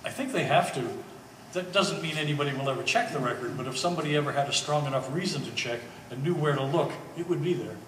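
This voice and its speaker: In a reverberant hall, a bit far from the mic, a man acts a reasonable, easygoing go-between on stage. He sounds conversational and persuasive, in a slightly high male voice. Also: quick pace, fairly bright tone, close to an everyday voice. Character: reasonable, easygoing man brokering a family dispute. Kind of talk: live stage play acting. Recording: echoey hall, distant mic, faint noise.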